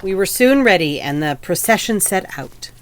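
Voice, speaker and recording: An actress narrating an audio play as a calm storyteller with a composed, literary delivery. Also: female voice, medium pitch, moderate pace, a little hiss or hum, natural voice.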